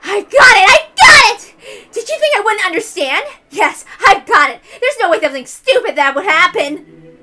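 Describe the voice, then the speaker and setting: A woman's voice: extremely high pitch, sing-song, moderate pace, voice pushed up, heavily acted. A shrieking, unhinged young female detective. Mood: manic triumph. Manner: frantic, shouting, cackling outbursts. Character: unhinged, shrieking, smug young woman detective, desperately boastful.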